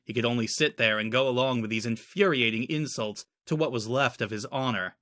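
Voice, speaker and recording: A man's voice: mid-range voice, a little fast, a bit bright. A man narrating a story in the style of a dramatic audiobook reading.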